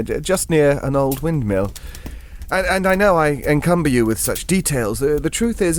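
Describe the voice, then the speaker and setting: A man's voice: fairly high pitch, slight background noise. An actor playing a genteel older Englishman, telling a ghost story in an audio drama in a hesitant, digressive, politely formal and wistful way.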